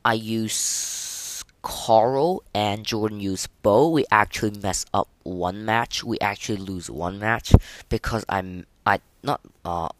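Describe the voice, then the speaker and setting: A man hosting a casual, unscripted gaming podcast, speaking haltingly and often repeating and restarting his phrases. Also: lowish voice, no echo. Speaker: podcast host.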